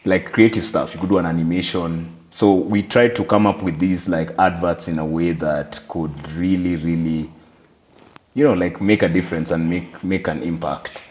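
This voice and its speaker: A man's voice: deep voice, fairly even intonation, darkish tone, a little hiss or hum. A young man with a Kenyan accent, speaking reflectively as an interview guest about his life and work. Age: young adult. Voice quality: radio voice. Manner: reflective, measured, frequent "like" fillers. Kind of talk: conversational interview.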